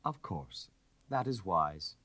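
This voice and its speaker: A man's voice: low-pitched, expressive, takes it slowly, pushed and bright, slightly rough, exaggerated voice. A man voicing a poised, candid aristocratic countess in a storybook reading, with a refined and emphatic delivery. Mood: mild.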